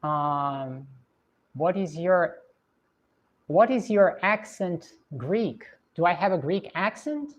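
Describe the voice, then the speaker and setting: A woman's voice: lowish voice, sing-song. Russian woman musing on a livestream. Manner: reflective, unhurried, many fillers. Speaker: livestream host. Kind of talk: casual livestream chat reading viewer comments. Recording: dull lo-fi stream audio.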